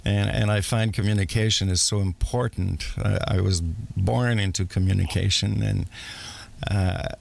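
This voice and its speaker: A male radio host with a low, gravelly voice interviews a guest. He listens closely and fills the pauses with soft murmurs of agreement. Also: steady tone. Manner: attentive, frequent murmured agreement.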